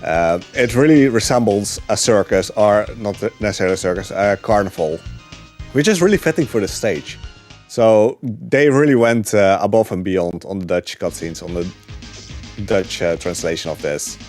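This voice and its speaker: A man with a fairly low voice explains his speedrun as he plays. He talks in an easygoing, chatty way, with frequent "uh"s between thoughts. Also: normal speed, faint music behind. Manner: relaxed, chatty, many fillers. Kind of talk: live gameplay commentary.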